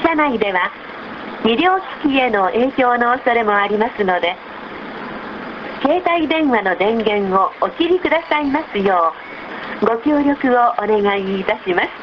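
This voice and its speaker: A woman reading formal, polite scripted bus stop announcements, measured, heard through a vehicle's speaker on an old recorder. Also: expressive, dull audio. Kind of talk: scripted public transit announcement. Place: inside a city bus, played over its speaker. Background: loud bus engine and vehicle rumble.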